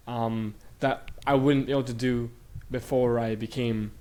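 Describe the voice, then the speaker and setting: A man's voice: medium pitch, steady tone, a little slow, warm tone. Young man, guest in casual interview, rambling speech, lots of ums, from Bulgaria raised in Sweden. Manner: rambling, many fillers and restarts.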